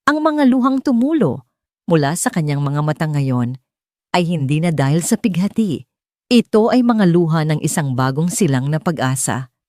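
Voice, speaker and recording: Female story narrator, lower register, dramatic scripted delivery, dry close sound. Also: animated, moderate pace, dark tone, dry recording.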